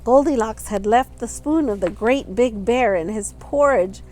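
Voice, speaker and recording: A woman's voice: a little high, measured pace, dark tone. A woman reading a children's picture book aloud expressively, adding simple asides for young listeners. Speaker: storytime reader.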